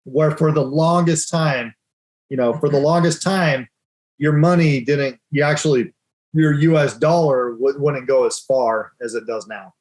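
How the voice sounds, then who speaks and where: A man's voice: medium pitch, measured pace, darkish tone, rough recording. A man hosting a live currency trading room, explaining chart moves in a casual, joking way and laughing often.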